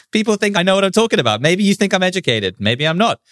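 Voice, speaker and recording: A man's voice: sits high, sing-song, fast talker, fairly bright tone, dry recording, clean studio sound. A man with a British accent hosting an interview, asking curious questions and prompting with short hums. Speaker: podcast host. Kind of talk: interview conversation.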